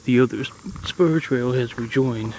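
A middle-aged man casually narrating a hike on a wooded lakeside trail in a relaxed, admiring way, recorded on a handheld camera. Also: mid-range voice, normal speed, poor audio. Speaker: hiking vlogger. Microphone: handheld camera mic, speaker off camera. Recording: faint outdoor noise.

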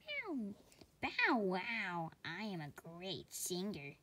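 A woman's voice: medium pitch, huge pitch swings, extremely slow, sharp tone, breathy edge, exaggerated voice. A woman voicing an aging hunting dog in a fairy tale, plaintive, with bow-wow barks. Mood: proud.

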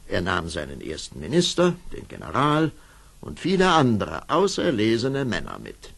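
A man's voice: lowish voice, wildly swooping pitch, moderate pace, vocal fry, a bit bright, slightly rough, full character voice. A man narrating a fairy tale as a scripted storyteller for an audio play. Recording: old cassette, faint noise.

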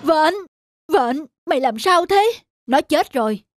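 A woman's voice: high voice, animated, slow. A woman voicing characters in a cartoon folktale with an earnest storytelling delivery. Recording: loud background music.

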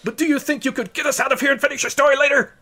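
A man's voice: extremely high pitch, steady tone, very fast, bright, forceful tone, voice pushed up. A man voicing a fussy, impatient cartoon sidekick in a storybook.

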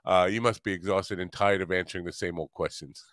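Older man hosting, low close-miked voice. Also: fairly even intonation, fairly quick, fairly bright tone, no room sound. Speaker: podcast host. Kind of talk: casual interview hosting. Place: indoor home studio. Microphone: close studio mic on boom arm, headset.

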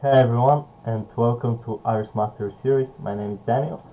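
A young man with a Bulgarian accent, not a native English speaker, leading a screen-recorded software tutorial in a halting way, with fillers and soft laughs. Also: medium pitch. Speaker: software company founder. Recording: dull, lo-fi home recording with faint hiss.

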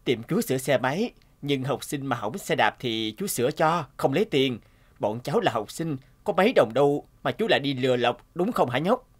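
A man's voice: mid-range voice, big pitch swings, normal speed, breathy edge. A man voicing a kind, friendly young father who repairs bikes in a cartoon, speaking reassuringly. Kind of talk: cartoon voice acting.

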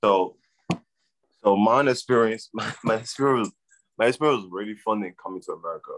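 A young man from Liberia with a Liberian accent, talking casually as a guest on a video call, halting and often repeating his words. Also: fairly low pitch, a little hiss or hum, low-quality recording. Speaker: guest, soccer player. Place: room at home. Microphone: webcam on video call.

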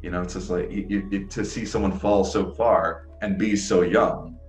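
A man's voice: deep voice, steady tone, normal speed. A young adult man interviewing over a video call, enthusiastic and rambling, often restarting his sentences. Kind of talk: casual interview chat. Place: small room, indoors. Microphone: video call, earbuds. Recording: echoey room with faint music.

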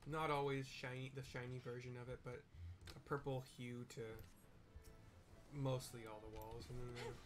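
A middle-aged man casually describing what happens in a video game as he plays, in a halting, conversational way. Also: mid-range voice, flat delivery, noisy background. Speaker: game streamer, narrator. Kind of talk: casual play-by-play with audio description of gameplay. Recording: loud game music behind voice. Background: loud game music.

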